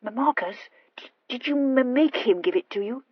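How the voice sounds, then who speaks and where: A woman's voice: fairly high pitch, expressive, moderate pace, slightly rough, dry recording, lo-fi sound, voice pushed up. A woman voicing an impulsive, anxious young aristocratic wife who stammers and gushes earnestly in an audiobook story. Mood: puzzled, probing. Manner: hesitant, gushing confession with emphatic outbursts.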